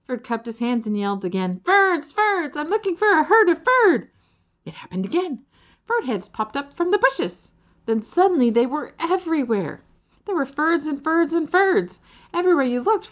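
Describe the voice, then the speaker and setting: A woman reading a children's story aloud as an expressive narrator. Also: medium pitch. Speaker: audiobook reader.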